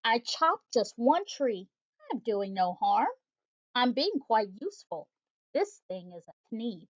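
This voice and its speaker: An eager, pushy male salesman from a picture book lists his wares in a high, bouncing voice, as a woman reads him aloud. The recording sounds a little dull and lo-fi. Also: big pitch swings, slow. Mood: salesy. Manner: pitching a product, listing eagerly. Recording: slightly dull lo-fi audio.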